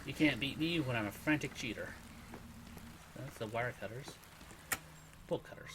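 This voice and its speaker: A man's voice: medium pitch, normal speed, very noisy. A man hosting a gaming channel, giving casual, muttered and self-deprecating commentary. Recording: loud music behind the voice.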